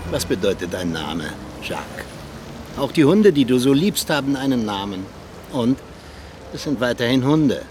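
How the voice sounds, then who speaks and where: An actor playing a coolly cynical Soviet secret agent in a radio play, brisk, authoritative and conspiratorial. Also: male voice, medium pitch, sing-song, darkish tone, a little hiss or hum, lightly acted.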